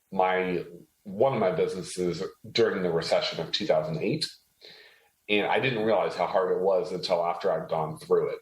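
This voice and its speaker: A man from Haiti speaking as an interview guest, explaining things conversationally with measured self-corrections. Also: low-pitched. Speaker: interview guest, tech entrepreneur. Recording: roomy, echoey sound.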